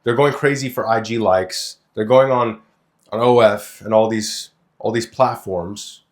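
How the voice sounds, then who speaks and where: A man's voice: lowish voice, measured pace. Blunt, crude young man from Lebanon. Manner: blunt, crude, animated storytelling with swearing. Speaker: podcast co-host. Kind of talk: casual opinionated podcast chat.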